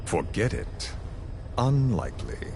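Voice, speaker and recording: Game villain: cold, calculating interrogator, very deep, highly expressive delivery, menacing courtesy. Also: male voice, slight background noise. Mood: cold, insistent. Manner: measured, menacing, falsely polite, theatrical. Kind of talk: dramatic video game voice acting.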